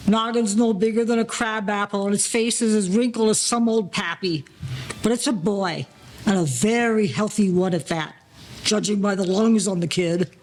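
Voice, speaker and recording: A man's voice: high-pitched, moderate pace, natural voice, poor audio. A man playing a confident, slangy 1940s gangster turned midwife in an old-time radio crime drama. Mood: reassuring, brisk.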